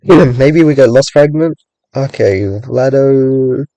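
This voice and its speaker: Young man, casual gaming commentary, rambling, raspy, mellow tone, dry close sound. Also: mid-range voice, takes it slowly, no echo, low-quality recording. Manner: rambling, thinks aloud, many "like"s and hedges. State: raspy from long talking. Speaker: gaming streamer.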